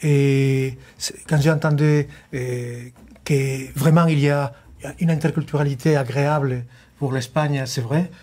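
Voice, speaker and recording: A man's voice: mid-range voice, fairly even intonation, normal speed, a little mellow. A man speaking as a guest on a studio panel, hesitant, with many restarts.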